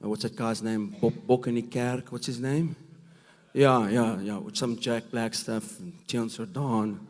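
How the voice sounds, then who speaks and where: A man with a rough, gravelly voice from a cold, giving a preaching talk at a men's conference, emphatic and full of rhetorical questions. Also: mid-range voice, steady tone, measured pace, a little mellow. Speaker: conference speaker. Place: church hall. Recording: long reverb of a large hall.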